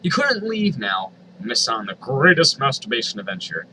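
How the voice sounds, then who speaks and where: A man's voice: medium pitch, big pitch swings, a little fast, bright, forceful tone, a little hiss or hum, low-quality recording. A man reading a story aloud dramatically, with exasperated, sardonic asides. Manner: exasperated, sardonic, swears freely. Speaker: reader of online fan fiction.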